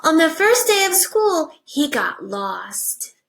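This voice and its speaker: A woman teacher reading a picture book aloud to young children, with simple deliberate phrasing and hushed asides. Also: sits high, big pitch swings, gravelly. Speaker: first grade teacher.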